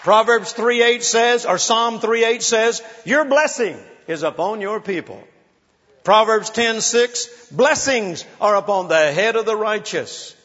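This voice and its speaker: High-voiced preacher in his sixties. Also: animated, a little slow, a bit bright. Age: 60s. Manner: exhorting, calls for praise, playful storytelling. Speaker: pastor. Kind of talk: sermon. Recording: muffled sound in a large reverberant hall.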